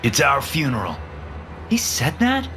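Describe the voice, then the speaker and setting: Guy doing higher voices for the young crew, swoopy and tense, music under it. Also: huge pitch swings, unhurried. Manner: raised pitch for dialogue. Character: young adult characters, men and a woman.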